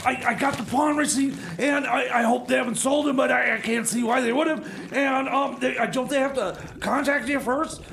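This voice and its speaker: A man's voice: fairly even intonation, normal speed, hardly any pauses, fairly bright tone, a little hiss or hum, heavily acted. A man playing a flustered, bumbling mechanic in comedy radio theater, stammering and repeating himself. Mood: sheepish, hurried. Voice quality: high-pitched creaky voice. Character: Jeb, flustered, bumbling male time machine mechanic.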